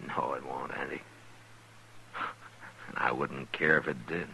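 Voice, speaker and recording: Western marshal: very low gravelly voice, grave and terse, old radio recording with light noise. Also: male voice, fairly even intonation, bright, forceful tone, heavily acted. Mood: somber, gentle. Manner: terse, measured. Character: grave, loyal frontier US marshal. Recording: noisy vintage radio recording.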